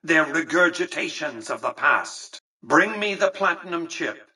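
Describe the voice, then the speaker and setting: An actor playing an imperious, calculating old industrialist in a video game, speaking in a measured, condescending way with polished, precise diction. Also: male voice, a little high, expressive, sharp tone, husky, far from the mic, exaggerated voice. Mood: grand, disdainful. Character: imperious, calculating old industrialist who rules a casino empire. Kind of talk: scripted video game dialogue.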